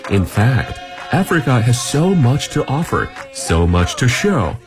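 A man's voice: medium pitch, very animated, measured pace. A man reading scripted radio promotional narration in a dramatic, emphatic manner. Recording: music under the voice.

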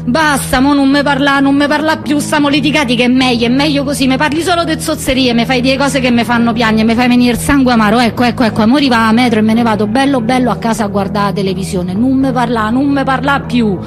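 Woman doing old roman widower guy, high voice, grumbly and nostalgic, stage piece w loud music behind. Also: steady tone, close to an everyday voice. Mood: hurt, grumbling. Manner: repetitive, halting, colloquial old-timer talk. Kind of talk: theatrical two-character monologue in dialect.

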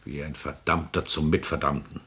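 An imperious older president and nobleman in a classical radio drama. The voice sits low and is rough and husky. The manner is haughty, formal and sceptical, that of an aristocratic intriguer used to command. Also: level tone, moderate pace, fairly bright tone, heavily acted. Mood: uneasy.

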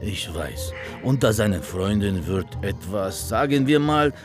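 A man's voice: on the low side, expressive, unhurried, over music. An actor playing a condescending, unbothered middle-aged father in a radio drama, dismissive in manner. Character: condescending, unbothered conservative father of a grown daughter.

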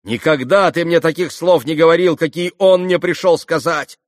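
Storybook role: proud, volatile young woman, played by a man, shrill and high, swinging between spite and anguish. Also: expressive, measured pace, no room sound, studio quality, natural voice. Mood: furious.

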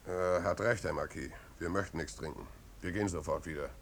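A man's voice: fairly quick, creaky, a bit bright. An actor playing a humble, plain-spoken village man, earnest, firm and deferential, in a 1950s German radio drama. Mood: respectful, serious. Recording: old radio recording, rumbling background noise.

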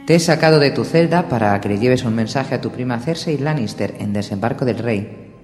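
A man's voice: medium pitch, fairly quick, over music, close to an everyday voice. A man voicing a firm, commanding young northern king who sets terms and demands oaths, with a roomy, echoing sound. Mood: stern. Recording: roomy echo with long reverb.

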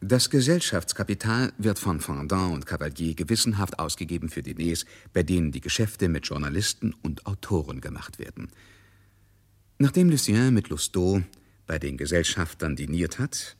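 Deep-voiced, dryly ironic narrator. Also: male voice, lively intonation, moderate pace. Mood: composed, dry. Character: male narrator of a literary tale, composed observer. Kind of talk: scripted radio drama narration.

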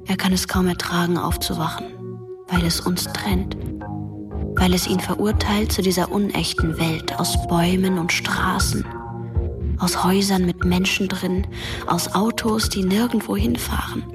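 A woman playing a haunting young female narrator in a radio drama, speaking in a hushed, breathy, intimate way. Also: lowish voice, steady tone, measured pace. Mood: hushed, brooding. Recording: music under the voice.

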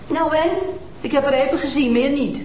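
An actress playing a defensive, indignant suspect during a police interrogation. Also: female voice, normal speed, a little mellow, lightly acted. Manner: defensive, indignant, rising to shrill denials. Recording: roomy TV soundtrack with faint noise.

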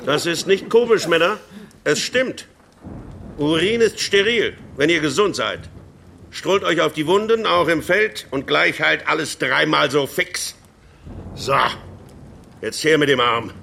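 Sardonic, high-pitched military doctor. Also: male voice, unhurried, creaky, sharp tone, slight background noise, heavily acted. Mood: mocking. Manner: sardonic, barking, condescending. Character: brusque, mocking Waffen-SS army doctor drilling young recruits. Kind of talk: German radio drama acting.

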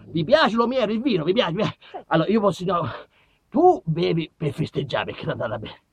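A man performing an excitable, halting comic monologue to an audience, repeating himself. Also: high-pitched, husky, no room sound.

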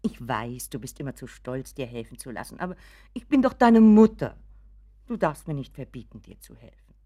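A woman's voice: extremely low pitch, very animated, normal speed, dark tone, exaggerated voice. An actress playing an anxious, doting mother in a German radio drama, fussing and apologetic, often dropping to a whisper. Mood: worried, coaxing. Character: anxious, doting, pleading mother of grown son.

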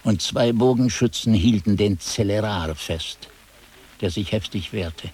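An actor playing an aged, forgetful monk who recounts haunting events in a radio play, muttering to himself and then exclaiming. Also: male voice, on the low side, a little mellow, husky. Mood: grave recounting. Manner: halting self-talk, swinging from muttering to exclaiming. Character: aged monk chronicler, forgetful, haunted, musing over his manuscript. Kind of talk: dramatic radio play narration.

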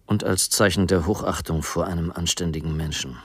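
A man's voice: low voice, fairly quick, husky, dry recording. An actor playing a resigned, frightened man on the run, voicing his farewell letter in a subdued, melancholy way in a radio play. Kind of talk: radio play monologue, letter read in character.

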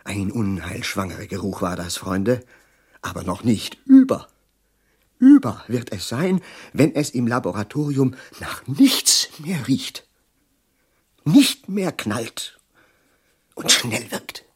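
An actor playing a bitter, sardonic middle-aged professor prophesying doom in a German radio drama, with a husky, declamatory delivery. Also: male voice, mid-range voice, very animated, slow pace, long pauses, heavily acted. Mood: ominous, intense. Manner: declamatory, sardonic, lingering on words with sudden hushes.

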